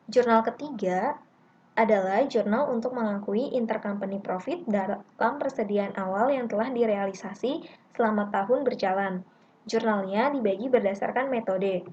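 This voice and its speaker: A woman's voice: medium pitch, a little mellow. A young woman narrating a scripted lesson in a methodical, instructional way. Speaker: accounting lab tutor. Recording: dull lo-fi mic with clear background noise.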